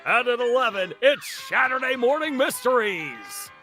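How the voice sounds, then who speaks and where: A man's voice: extremely high pitch, sing-song, moderate pace, faint music behind, pitched above the performer's own voice, exaggerated voice. A man voicing an over-hyped retro TV promo announcer, punchy and exaggerated, in a cartoon promo parody. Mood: hyped, cheesy. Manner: punchy, exaggerated, pushed-out "puking" DJ delivery.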